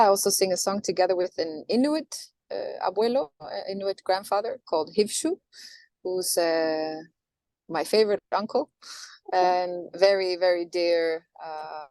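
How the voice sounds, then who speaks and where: Soft-spoken woman, thoughtful. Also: lowish voice, no room sound. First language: Norwegian. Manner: thoughtful, halting, with many restarts and fillers. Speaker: singer, interview guest. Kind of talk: reflective interview answers.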